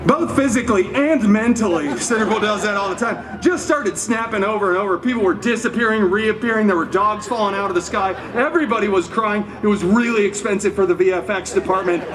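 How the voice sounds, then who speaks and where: A man's voice: high-pitched, a little fast, few pauses, close to an everyday voice. A man playing a cheeky, wisecracking costumed superhero, telling a mock fairy tale live with sarcastic, theatrical asides. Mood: mock-grand. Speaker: costumed theme park character performer telling a story. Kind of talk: live comic storytelling to an audience. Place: theme park show before an audience. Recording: live crowd, noisy, distant mic.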